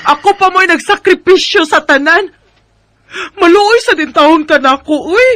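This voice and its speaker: A man's voice: very high-pitched, a little slow, bright, forceful tone, no echo, clean studio sound, full character voice. A man acting a long-suffering, emotional wife and mother in a radio drama, with a Filipino Bisaya accent. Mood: exasperated scolding. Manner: emotional, scolding and pleading.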